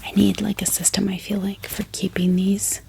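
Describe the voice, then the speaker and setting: A woman speaking in a soft, gentle, unhurried ASMR whisper, calmly showing things to her viewers. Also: medium pitch, vocal fry. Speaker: ASMR book vlogger. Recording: steady background hiss, heater hum, clicks. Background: book tapping, clicking, heater running.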